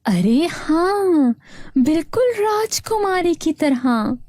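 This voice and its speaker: Slow, lively woman storyteller. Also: a little high, dry recording, studio quality. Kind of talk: scripted children's story narration.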